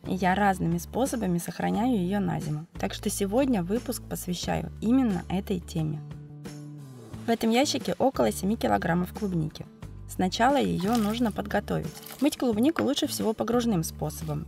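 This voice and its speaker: A woman with a cold, narrating a cooking video as its host, explaining each step calmly and methodically. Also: mid-range voice, vocal fry. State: has a cold, voice affected. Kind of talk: calm recipe voice-over narration.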